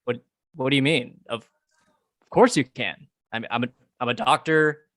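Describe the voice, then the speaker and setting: A woman's voice: a bass voice, moderate pace, fairly bright tone. A woman reading a hurt, supportive boyfriend. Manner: eager, then hurt and stammering.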